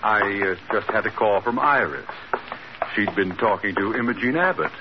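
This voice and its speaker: An actor playing a blustery, falsely courteous bank president in an old radio comedy. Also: male voice, on the low side, animated, normal speed, lightly acted. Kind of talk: 1940s radio comedy acting. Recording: noisy, dull old radio recording.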